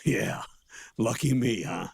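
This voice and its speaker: An actor playing a weary, defensive older colonel in a video game, husky and measured in delivery. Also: male voice, medium pitch, a little mellow, exaggerated voice. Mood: sheepish. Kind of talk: dramatic video game voice acting.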